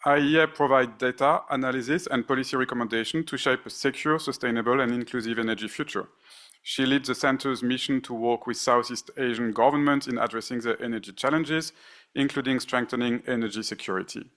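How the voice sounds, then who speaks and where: A man's voice: mid-range voice, fairly quick, fairly bright tone. A man moderating a conference panel, reading out speaker introductions with frequent fillers and restarts. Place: conference stage.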